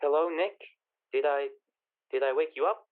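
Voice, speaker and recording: A man acts out a nervous, obnoxious fellow phoning in a radio mystery. His voice is high, halting and stammering, squeezed through a thin telephone line. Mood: anxious. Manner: hesitant, stammering. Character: nervous, obnoxious male caller fearing his own murder. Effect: telephone filter. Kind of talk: 1940s radio comedy-mystery acting. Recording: thin, narrow telephone-band sound.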